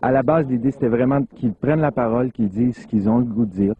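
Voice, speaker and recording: A man's voice: medium pitch, fast talker. A man reflecting on the aims of a youth radio station, thoughtful and explanatory. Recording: tinny band, faint music behind.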